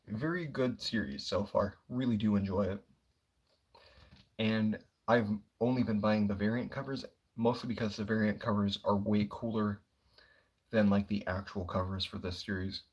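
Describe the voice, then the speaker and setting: Quiet young guy streaming, kinda husky, laid back and rambly. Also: lowish voice. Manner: quiet, relaxed, rambling with many fillers. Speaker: comic book streamer. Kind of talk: casual livestream chat.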